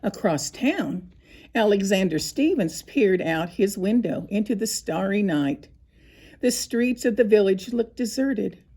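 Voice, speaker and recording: A woman narrating a scripted children's story, with expressive, deliberate phrasing and dramatic pauses. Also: mid-range voice, breathy edge. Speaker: storybook reader.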